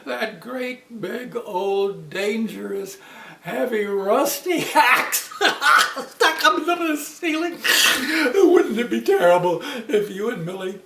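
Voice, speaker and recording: A foolish father, voiced by a woman storyteller, frets over an imagined accident, his lines climbing high. The words stretch out slowly. The sound is echoey and distant, with a faint hum. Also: lively intonation, creaky, a bit bright, exaggerated voice. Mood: dramatic dread. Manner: drawn-out, rising to a cry.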